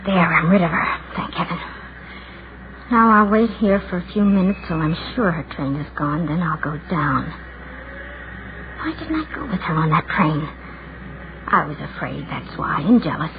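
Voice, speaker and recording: A narrow, noisy vintage radio recording carries a shy, lonely woman of about thirty. She is brittle and anxious, quick to judge, acting in a forties radio-drama manner. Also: fairly low pitch, expressive. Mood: bitter, fretful. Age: 30s.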